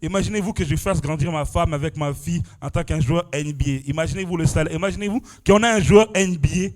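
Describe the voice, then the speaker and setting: A young French man giving his testimony at a church prayer vigil. His voice sits a little high for a man, and he surges and drops in intensity, tagging nearly every phrase with "amen" or "alléluia". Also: fast talker, a little mellow. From France. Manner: exclamatory, punctuates phrases with "amen" and "alléluia", rising and falling intensity. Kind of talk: sermon testimony.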